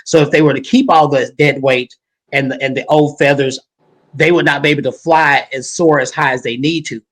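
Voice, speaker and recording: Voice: medium pitch, fairly even intonation, a little fast, low-quality recording. A talk show host in their fifties chatting casually with a guest, sassy and folksy, repeating points for emphasis. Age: 50s.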